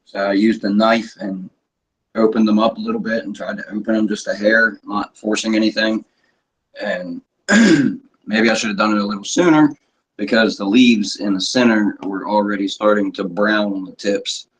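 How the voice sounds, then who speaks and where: Hoarse low-voiced man with a sore throat. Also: moderate pace, poor audio. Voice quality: husky. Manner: frequent throat clearing, offhand swearing. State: sore throat, losing his voice. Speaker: cannabis vlogger. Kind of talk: casual rambling chat to viewers.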